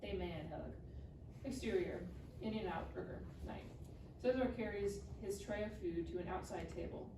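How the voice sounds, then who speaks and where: A woman's voice: mid-range voice, normal speed. A woman narrating stage directions from a script at a live table read. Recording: roomy, distant mic, clearly noisy.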